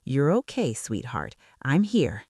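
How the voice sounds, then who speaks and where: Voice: mid-range voice, wildly swooping pitch, takes it slowly, a little mellow, breathy edge, dry recording, studio quality, exaggerated voice. A narrator voicing a controlled, guarded billionaire boss and single father in an audiobook. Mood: tender, murmuring.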